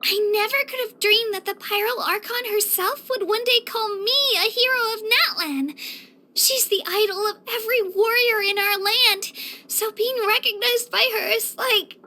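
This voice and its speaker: A woman's voice: extremely high pitch, normal speed, exaggerated voice. A woman voicing an earnest, sweet, self-doubting young girl hero in an anime-style fantasy video game. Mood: admiring. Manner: earnest, youthful, gentle.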